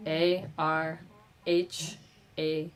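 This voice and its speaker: A woman interpreting a question-and-answer session on a video call, speaking in a measured, halting way with pauses. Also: low voice, level tone, low-quality recording.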